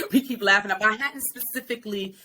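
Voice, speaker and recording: A woman's voice: mid-range voice, moderate pace, sharp tone, slight background noise. A woman in her late forties hosting an interview, asking frank, thoughtful questions in casual conversation. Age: late 40s. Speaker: podcast host.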